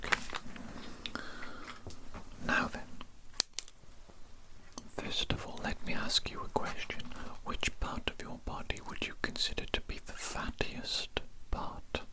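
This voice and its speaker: A man's voice: slow pace, long pauses, close to an everyday voice, rough recording. A man voicing an evil cannibal doctor in a whispered ASMR roleplay, formal and politely domineering, repeating himself as he asserts control. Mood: smug, clinical. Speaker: ASMR performer.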